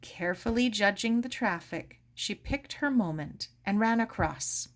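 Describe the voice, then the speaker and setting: A woman reading a children's story aloud as expressive scripted narration. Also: mid-range voice, measured pace. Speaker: storybook reader.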